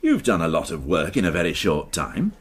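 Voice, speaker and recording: An actor playing a dry, wry, middle-aged police inspector in a radio crime drama, husky and questioning. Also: male voice, low voice, wildly swooping pitch, fairly quick, heavily acted. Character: dry, sceptical male police inspector in charge.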